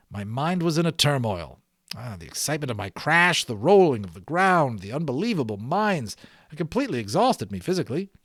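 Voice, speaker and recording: A man reading a children's novel aloud as an expressive amateur audiobook narrator. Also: mid-range voice, no echo, clean studio sound.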